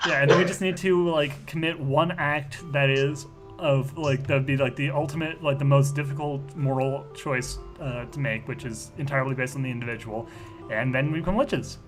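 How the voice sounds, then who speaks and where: A man's voice: medium pitch, fairly even intonation, normal speed, over music. A man speaking casually and informally in character during a tabletop role-playing game.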